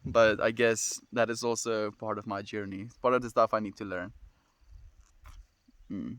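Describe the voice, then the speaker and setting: A man in his twenties with a South African accent, chatting casually and fast with viewers on a livestream. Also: fairly low pitch, little pitch movement, a bit bright, no echo. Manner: fast-talking, friendly, reads and answers comments.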